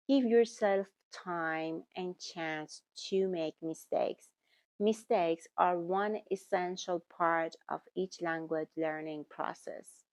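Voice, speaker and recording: A woman speaks to her viewers in a slow, deliberate way, often repeating words and correcting herself. Her voice sits fairly low and has a gravelly texture. The recording is close and dry. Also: no room sound. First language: German. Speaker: language-learning YouTuber. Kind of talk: talking to camera, giving tips.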